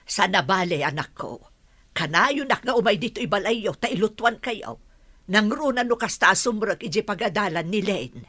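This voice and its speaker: A woman's voice: medium pitch, animated, moderate pace, pushed and bright, no room sound. A woman acting an indignant, protective older mother of a grown son in a radio drama, scolding and motherly. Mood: consoling.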